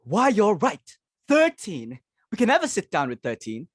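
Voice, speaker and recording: A woman's voice: mid-range voice, wildly swooping pitch, normal speed, breathy edge, dry recording. Woman acting fussy, swooping society hostess. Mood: flustered. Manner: exclamatory, fretful, commanding. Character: fussy, social-climbing society hostess and mother.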